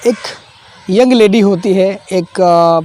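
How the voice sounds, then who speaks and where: A man's voice: high-pitched, slow pace, mellow. A young man teaching a textbook play, explaining each phrase, repeating himself and adding fillers.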